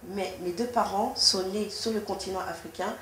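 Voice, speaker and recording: A woman's voice: medium pitch, fairly bright tone. Formal woman in an echoey room. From France. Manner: measured, formal, with frequent restarts. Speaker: politician being interviewed. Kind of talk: interview answers. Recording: echoey room with faint noise.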